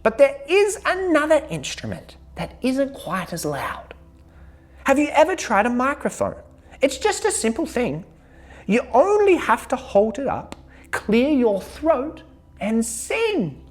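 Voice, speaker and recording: Storybook sheep, female, played by a woman: high, very lilting, cheerfully persuasive, keen to get everyone playing. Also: wildly swooping pitch, unhurried, heavily acted. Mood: encouraging. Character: bossy cheerful female sheep in a jungle band.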